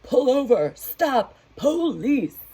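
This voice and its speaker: A woman voicing a blustering small-town male sheriff in a storybook, hollering loud commands. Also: medium pitch, expressive, measured pace.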